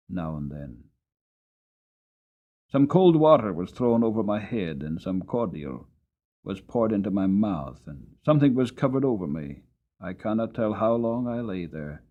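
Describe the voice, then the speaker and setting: A man voicing a weary, long-suffering horse who tells his life story in earnest, deliberate, dramatic storybook narration. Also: on the low side, phone-quality sound.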